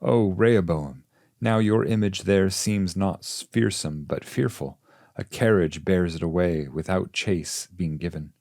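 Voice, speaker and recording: Guy with a low voice reading old epic poetry, solemn and measured, really dry close sound. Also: vocal fry, darkish tone, dry recording. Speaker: translator and reader of a classic poem. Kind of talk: literary audiobook narration of poetry.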